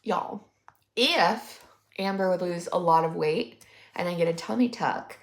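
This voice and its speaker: A woman's voice: fairly low pitch, unhurried. A woman in her twenties giving sarcastic, mocking reaction commentary in a chatty way. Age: 20s. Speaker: commentary YouTuber. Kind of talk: reaction commentary on a vlog clip. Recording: roomy echo.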